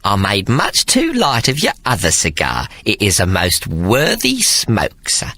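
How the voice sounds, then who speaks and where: A man's voice: fairly low pitch, wildly swooping pitch, measured pace, bright, forceful tone, a little hiss or hum, heavily acted. A man voicing a scheming woman nanny in an audiobook, cooing and teasing. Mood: mock-humble. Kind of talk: audiobook character voice.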